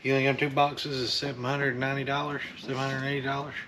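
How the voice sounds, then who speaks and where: A man's voice: medium pitch, level tone, quick pace, poor audio. A man in his thirties with a Southern US accent, joking casually while sorting through a storage unit. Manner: joking, teasing, easygoing drawl. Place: indoor storage unit hallway. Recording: loud background noise.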